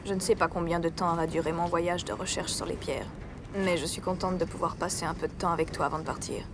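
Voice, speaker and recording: A woman voicing a stoic, serious older-sister pony in a French cartoon dub, with a formal, emotionless deadpan delivery. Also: lowish voice, quick pace, background noise, natural voice. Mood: flat, calm. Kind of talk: French animated series dub. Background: music.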